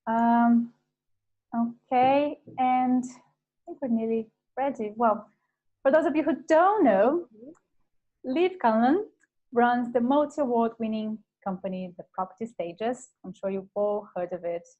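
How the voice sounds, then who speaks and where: A woman's voice: a little high, a little slow, narrow, tinny audio. Enthusiastic woman hosting a webinar. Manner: enthusiastic, gushing. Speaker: webinar host. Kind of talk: introducing a guest speaker.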